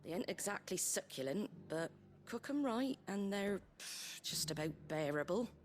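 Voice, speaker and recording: A woman's voice: medium pitch, lively intonation, normal speed, slight background noise, natural voice. An actress playing a blunt, practical, caring innkeeper woman in a fantasy video game, with a regional working-class English accent and a folksy, wry way of talking. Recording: music behind the voice.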